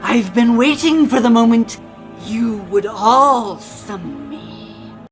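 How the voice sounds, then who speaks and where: With music clearly behind, a woman voices a masked video game villain, cold, mocking and vengeful. The lines come slowly and theatrically in a fairly high register. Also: expressive. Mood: taunting. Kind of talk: dramatic video game monologue reading.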